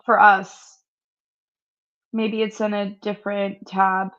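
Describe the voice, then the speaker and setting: Slightly hoarse woman co-hosting a webinar. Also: mid-range voice, flat delivery, measured pace, fairly bright tone, lo-fi sound. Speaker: marketing co-host. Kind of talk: casual webinar chat.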